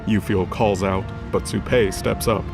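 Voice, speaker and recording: A man's voice: lowish voice, fairly even intonation, measured pace, creaky. A man narrating a scripted fantasy drama as a storyteller. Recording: music under the voice.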